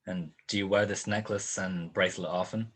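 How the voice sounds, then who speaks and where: A man's voice: deep voice, fairly even intonation, a little fast. A man playing a dry, world-weary private detective in a radio drama, questioning in a laconic, clipped way. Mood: dry, probing.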